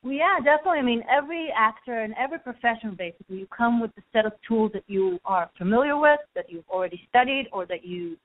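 A woman's voice: on the high side, expressive, quick pace, few pauses. A young Israeli-born woman, an acting student, calling in to a radio show and talking earnestly and upbeat about her classes. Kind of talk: casual phone interview. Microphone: telephone. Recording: tinny phone line.

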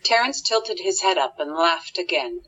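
A woman performing scripted radio drama and narration in a dramatic, expressive manner. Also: on the low side, normal speed, slightly rough, dull audio, full character voice. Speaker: narrator and character actress.

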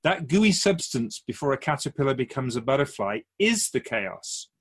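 An actor playing a wise, otherworldly male keeper of souls in a radio drama interview, gently teaching like an outsider to humankind, with a husky voice. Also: medium pitch, very animated, normal speed. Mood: reassuring, teaching.

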